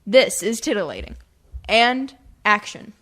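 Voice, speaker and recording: A woman's voice: mid-range voice, expressive, unhurried, a bit bright. A woman hosting a scripted comedy show with theatrical, playful introductions. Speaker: host, announcer.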